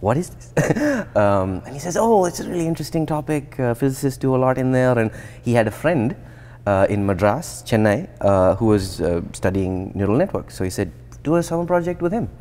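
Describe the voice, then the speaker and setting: A man in his thirties from India, a researcher explaining his path in a relaxed, thoughtful interview, often restarting sentences. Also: mid-range voice, fairly quick. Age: 30s. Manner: thoughtful, with frequent restarts and fillers. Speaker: guest researcher. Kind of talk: conversational interview. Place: indoor atrium with plants and glass. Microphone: lapel.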